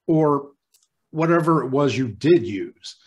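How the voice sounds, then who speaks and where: An actor playing a courteous senior hospital doctor in an old-time radio drama, formal, halting and apologetic. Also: male voice, on the high side, expressive. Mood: awkward, apologetic.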